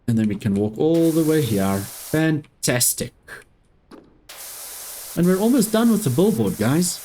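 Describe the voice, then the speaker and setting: Slow-talking Afrikaans-speaking man gaming. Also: on the high side, slight background noise. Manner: relaxed, talking to himself while playing. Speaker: gaming YouTuber. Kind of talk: casual gameplay commentary.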